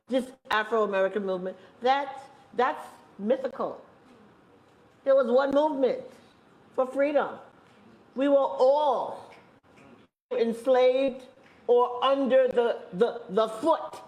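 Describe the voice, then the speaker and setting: A woman speaking on a panel, making her points with emphatic repetition and deliberate slow stresses. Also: mid-range voice, darkish tone. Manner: emphatic, repeats phrases, stresses points slowly. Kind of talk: impassioned panel talk. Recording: echoey room.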